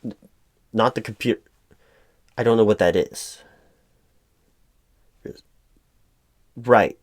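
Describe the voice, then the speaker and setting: A man's voice: on the low side, moderate pace, darkish tone, dry recording. A man playing a flustered, apologetic corporate hiring manager in a one-sided roleplay, stammering and repeating his words as he goes. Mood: confused, frustrated. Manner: frustrated, hesitant. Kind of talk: improvised audio roleplay, one side of a conversation.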